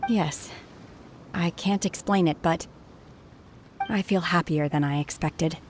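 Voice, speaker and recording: A woman playing a reserved young female warrior in a video game, terse, calm and quietly sincere. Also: fairly low pitch, slow, a little hiss or hum. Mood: hesitant, sincere. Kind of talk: scripted video game voice acting.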